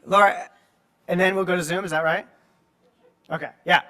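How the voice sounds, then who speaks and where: Male audience member, slightly high voice, hesitant question to the speaker. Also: steady tone, normal speed, bright, forceful tone. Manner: hesitant, repeats words while building up a question. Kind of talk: academic question at a colloquium.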